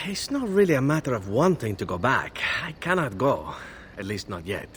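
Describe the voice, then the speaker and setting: An actor playing a charming, roguish assassin from abroad in a video game, with teasing, florid and theatrical phrasing. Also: male voice, mid-range voice, big pitch swings, moderate pace, a bit bright, slight background noise. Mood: wistful. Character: roguish, charming male foreign assassin, wistful about home. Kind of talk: video game character acting.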